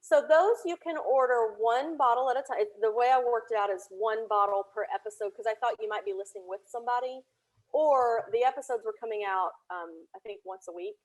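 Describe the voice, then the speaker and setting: A woman from Tennessee speaking as an interview guest, telling anecdotes in a chatty, conversational and animated way. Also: on the high side, a little fast, low-quality recording. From Tennessee, United States. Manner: chatty, animated anecdotes with laughter and reenacted dialogue. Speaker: interview guest, audio drama creator.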